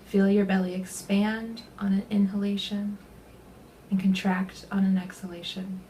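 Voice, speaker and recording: A woman leading a guided body scan meditation, speaking gently and unhurriedly in a soothing way, with soft, breathy phrase endings. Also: mid-range voice, level tone, measured pace, a little hiss or hum, poor audio.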